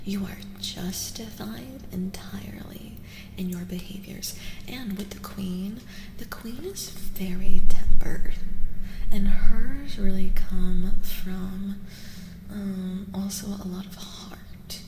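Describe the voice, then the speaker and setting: A woman doing a soft-spoken ASMR tarot reading as a streamer, breathy and often near a whisper, soothing and affirming, gently repeating her phrases. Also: mid-range voice, steady tone, takes it slowly, background noise. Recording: echoey reverb with music behind. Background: music.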